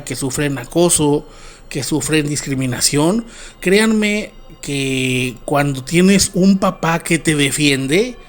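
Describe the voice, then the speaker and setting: A middle-aged man from Mexico with a northern Mexican accent, narrating scary stories as host in an unhurried, earnest way with filler pauses. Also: on the high side. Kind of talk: storytelling podcast narration.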